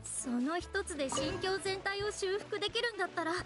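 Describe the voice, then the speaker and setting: Very high-voiced polite young guide. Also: female voice, normal speed, over loud music. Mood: weary, sheepish. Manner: polite, softly earnest. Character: polite young female guide, cheerful self-styled mascot, apologetic. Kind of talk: anime game dialogue, explanatory.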